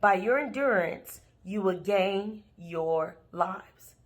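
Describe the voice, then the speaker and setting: A woman reading scripture aloud in a measured, deliberate way, pausing between phrases. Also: mid-range voice. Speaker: scripture reader.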